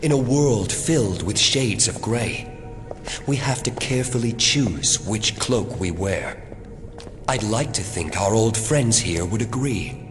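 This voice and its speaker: A smug, animated villainous schemer. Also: male voice, on the low side, over music. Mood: smug, proud. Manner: condescending, measured, formal wording. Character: smug, calm man running a secret cloning facility. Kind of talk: audio drama acting.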